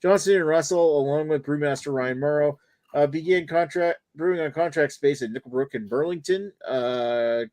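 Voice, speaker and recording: A man's voice: fairly high pitch, moderate pace, darkish tone, no echo. Give me a casual male host who jokes and steers the panel along. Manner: loose, swearing, leads the show. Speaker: host of a beer review panel show. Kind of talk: casual chat.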